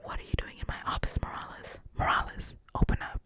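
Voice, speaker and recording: A reader puts on a gruff school security guard, stern and demanding. The voice stays a low, breathy whisper throughout. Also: fairly quick, natural voice. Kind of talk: whispered screenplay reading.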